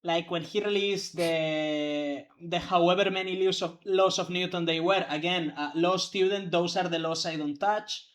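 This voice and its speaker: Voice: medium pitch, fairly even intonation, moderate pace, few pauses. A young adult law student chatting casually, rambling and hedging with lots of fillers. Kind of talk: casual chat among players.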